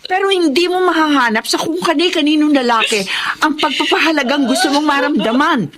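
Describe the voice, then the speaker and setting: Actress as a high-voiced, concerned best friend. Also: female voice, a little fast, crowd noise, natural voice. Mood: concerned, alarmed. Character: caring, concerned, advising female best friend. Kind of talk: melodramatic radio drama acting.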